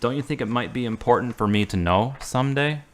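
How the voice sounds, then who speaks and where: A man's voice: mid-range voice, a little fast, lightly acted. An actor playing an earnest young man recovering from an accident, who talks sincerely and asks many questions. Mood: curious. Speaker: hospital patient. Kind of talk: amateur web drama acting.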